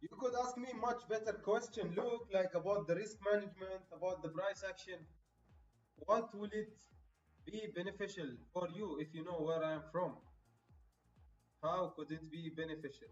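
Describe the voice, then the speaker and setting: A man from Egypt talks through a live stream in a casual, chatty way, calling viewers bro and my friend. His voice sits a bit high and reaches the mic from a distance in a roomy space. Faint music plays underneath a slightly dull recording. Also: fairly even intonation, normal speed.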